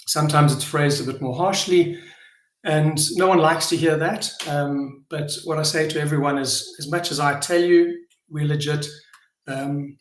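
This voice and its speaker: A middle-aged man with a South African accent giving an informal, unscripted address as a company founder, hesitant and earnest, often pausing and repeating words. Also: fairly high pitch. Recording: echoey room sound.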